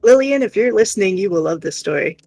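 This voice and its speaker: A man's voice: high-pitched, expressive, fast talker, gravelly, a little mellow, dry recording, soft background music. A man co-hosting a livestream in casual, chatty style. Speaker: livestream co-host. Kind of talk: casual chat.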